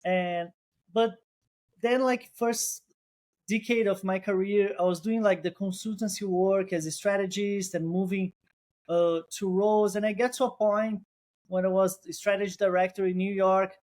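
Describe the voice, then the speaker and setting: A man's voice: sits high, fairly even intonation, normal speed, darkish tone. Brazilian-accented man, thoughtful guest. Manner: thoughtful, restarts phrases, non-native phrasing. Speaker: guest, co-founder. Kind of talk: casual interview.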